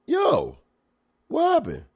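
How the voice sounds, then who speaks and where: A man hosting a podcast, a stand-up comic chatting and reacting to clips, with a stuffy nose and loud laughs. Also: very high-pitched, slow pace. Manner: animated, laughs loudly, chatty slang. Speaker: podcast host and stand-up comedian. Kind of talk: casual chat reacting to clips.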